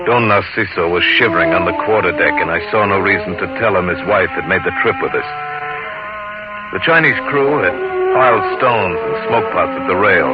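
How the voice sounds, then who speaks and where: Actor as a deep-voiced, brooding seafaring adventurer. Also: male voice, natural voice. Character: weathered, brooding seafaring adventurer man telling his tale. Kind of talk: old-time radio drama acting and narration. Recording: muffled vintage radio broadcast, music behind.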